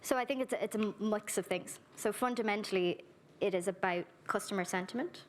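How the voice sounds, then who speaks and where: A woman's voice: mid-range voice, slight background noise. A woman speaking earnestly at a conference, giving a keynote and then answering audience questions on a handheld microphone. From Ireland. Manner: earnest, warmly direct, brisk with lists. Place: conference hall.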